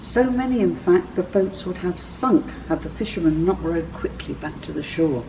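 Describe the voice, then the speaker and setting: A woman reading a scripted children's story as a church storyteller, with expressive, clearly phrased delivery. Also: lowish voice, moderate pace, distant mic, background noise.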